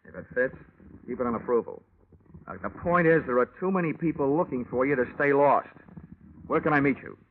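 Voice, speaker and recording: A man's voice: medium pitch, lively intonation, fairly quick, a little hiss or hum, natural voice. An American actor playing a wry, slangy, hard-boiled male insurance investigator in an old-time radio detective drama. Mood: wry, pressing. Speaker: lead actor and narrator. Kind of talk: 1940s-50s radio detective acting, hard-boiled narration. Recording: old radio recording, narrow tinny band, music.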